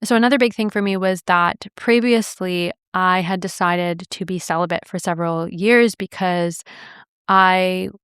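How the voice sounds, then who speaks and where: A woman's voice: lowish voice, fairly even intonation, measured pace, a bit bright, smooth, no room sound, clean studio sound. A young adult woman hosting a podcast, telling a personal story in an earnest, reflective way with light laughs. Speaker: podcast host.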